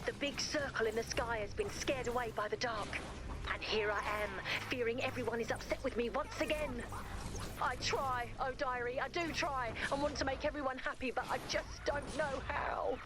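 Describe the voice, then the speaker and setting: A woman playing an eccentric, sweet and nosy video game character, theatrical and expressive. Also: sits high, moderate pace, close to an everyday voice. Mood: plaintive, earnest. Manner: theatrical, expressive, reads aloud dramatically.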